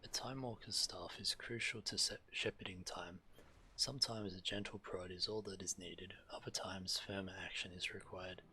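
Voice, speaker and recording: Low, bright-voiced man reading game dialogue. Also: steady tone, normal speed, slight background noise, poor audio. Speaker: game streamer.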